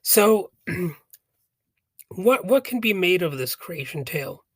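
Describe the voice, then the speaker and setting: Slow, raspy-voiced man with a cold. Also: high voice, big pitch swings, no echo, studio quality. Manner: rambling, hesitant, jokey asides. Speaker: podcast host. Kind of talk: casual commentary on a philosophy text.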